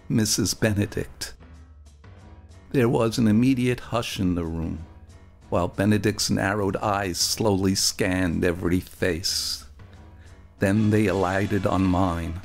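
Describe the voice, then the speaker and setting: A man performing a first-person story for radio, with a wry, animated and earnest delivery. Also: fairly low pitch, creaky.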